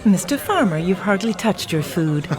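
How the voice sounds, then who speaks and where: A woman's voice: fairly low pitch, very animated, normal speed, crowd noise. An actress playing a polite, coaxing married society lady of the 1960s in a radio drama, with music behind her.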